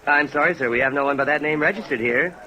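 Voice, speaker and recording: A man's voice: on the high side, fast, close to an everyday voice. A man playing a courteous, precise hotel desk clerk in a 1950s radio drama. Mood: prim, helpful. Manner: polite, precise, prim. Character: courteous, formal male apartment hotel clerk. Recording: noisy old radio recording with rain.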